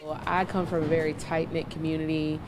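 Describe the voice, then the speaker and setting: A woman's voice: sits low, little pitch movement, a little fast, noisy background. A woman in her thirties from the southern United States, an activist answering interview questions conversationally. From Chattanooga, Tennessee, United States. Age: 30s. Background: music bed.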